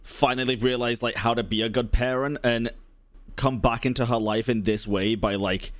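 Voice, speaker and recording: A man's voice: medium pitch, steady tone, normal speed, a bit bright, dry recording. Sweary man streaming a game. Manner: sweary, incredulous. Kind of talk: casual live gaming commentary.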